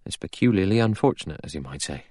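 A man voicing an anxious, deferential butler in a storybook reading, soft, formal and servile. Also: low voice, fast talker, no room sound, clean studio sound, natural voice.

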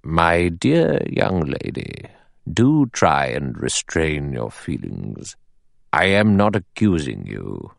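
A man voicing an elderly judge in an audiobook, cold and measured, with a thin, sour, deliberate delivery. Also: extremely low pitch, lively intonation, no room sound, exaggerated voice. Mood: stern, measured. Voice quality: small, thin, clear voice. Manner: slow, deliberate, dry and sour. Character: elderly male judge, cold, measured, acid-tongued authority.

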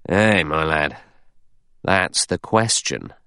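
A man playing a stolid, cautious former police inspector in an audiobook, deliberate and guarded. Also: rumbling low, very animated, pushed and bright, clear, resonant tone, no room sound, clean studio sound, exaggerated voice. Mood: grimly guarded. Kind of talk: audiobook character dialogue.

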